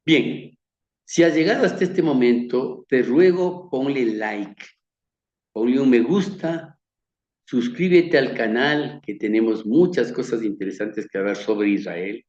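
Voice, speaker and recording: A man from Ecuador with a Quito accent gives a measured, earnest Bible lecture over pictures, often repeating words and letting his phrases fade softly. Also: medium pitch, reverberant, muffled recording. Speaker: Bible teacher. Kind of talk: slow sermon-like slideshow lecture.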